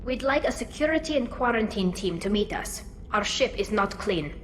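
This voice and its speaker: Earnest young alien engineer, echoey. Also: female voice, mid-range voice, animated, normal speed, over music. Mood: formal. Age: young adult. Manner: earnest, precise. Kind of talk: video game cutscene acting. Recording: echoey hall, noisy ambience behind.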